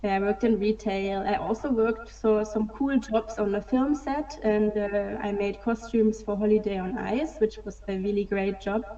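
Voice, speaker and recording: A woman in her thirties tells her story slowly, pausing on lots of "um"s. Her voice is mellow and a little dark. It sounds distant and dull, as if she is far from the mic on a call. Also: medium pitch, steady tone. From Germany. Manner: hesitant, many "um" fillers, soft laughs. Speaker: guest, digital fashion artist. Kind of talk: casual interview answers.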